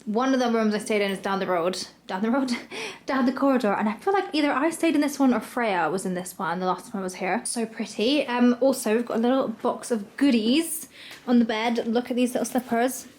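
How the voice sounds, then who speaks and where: A woman's voice: on the high side, brisk, a little mellow. A woman, chatting casually in a vlog, gushing and full of fillers, a bit congested from a head cold. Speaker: lifestyle vlogger. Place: hotel room indoors. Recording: echoey room.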